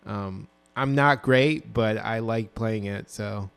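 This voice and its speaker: A man in his twenties with a low voice, casually walking through his own song mix with plenty of ums and self-corrections, recorded on a dynamic mic in a small home studio. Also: takes it slowly, vocal fry, clean tone. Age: 20s. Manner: relaxed, rambling, full of ums and self-corrections. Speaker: music producer. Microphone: dynamic broadcast mic.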